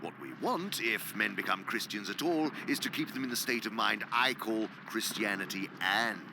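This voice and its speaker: An actor playing an imperious senior demon in a radio play, lecturing pompously and theatrically with precise diction and hushed, emphatic drops. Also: male voice, on the low side, normal speed, gravelly, noisy background. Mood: scheming, emphatic. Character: senior male demon, imperious scholarly uncle, exacting mentor. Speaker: senior demon lecturing his subordinate nephew.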